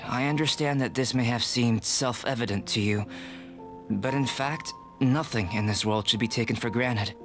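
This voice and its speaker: A man playing a calm young alchemist scholar in an anime-style video game, speaking in a measured, formal, reflective way. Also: on the low side, close to an everyday voice.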